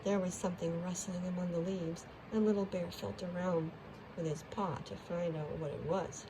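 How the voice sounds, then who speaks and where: A woman's voice: fairly low pitch, fairly even intonation, normal speed, background noise. A woman storyteller narrating a children's storybook expressively.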